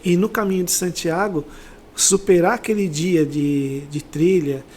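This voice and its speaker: A man's voice: high-pitched, fairly even intonation, measured pace, darkish tone, slight background noise. A middle-aged Brazilian man speaking as an interview guest, telling personal motivational stories in a reflective, conversational way and often checking for agreement. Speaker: interview guest, company sales director.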